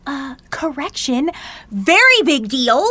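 Animated, cheeky nerdy teen girl. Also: high voice, slow, no room sound, exaggerated voice. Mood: eager, teasing. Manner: dramatic, slangy, interrupting. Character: cheeky, boastful nerdy teenage girl, loyal best friend. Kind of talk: audio drama narration to listeners.

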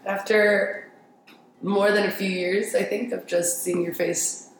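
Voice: medium pitch, level tone, takes it slowly. Young interview guest in a very echoey room. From grew up in Paris, France. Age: young adult. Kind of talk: casual conversational storytelling. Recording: very echoey room with faint noise.

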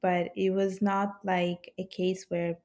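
A woman's voice: fairly low pitch, flat delivery, slow, darkish tone. A woman from Italy, a podcast guest, talking in a thoughtful, hesitant way with many fillers. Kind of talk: casual interview conversation.

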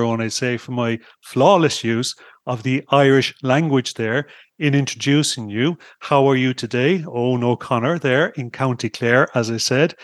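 A man's voice: medium pitch, dry recording, studio quality. Upbeat chatty male podcast host. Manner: upbeat, chatty, with frequent fillers. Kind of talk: podcast interview.

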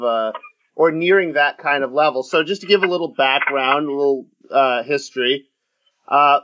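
A man hosting a casual sports podcast, talking in a halting way with many fillers and restarts. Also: a little high, fairly bright tone. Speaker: podcast host. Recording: muffled lo-fi audio.

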